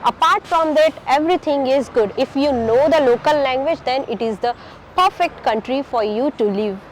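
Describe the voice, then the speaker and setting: A woman speaks in a fairly high voice, keeping things plain and direct. Faint background noise runs under her. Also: moderate pace, low-quality recording. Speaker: parent interviewee. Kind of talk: casual talk to camera.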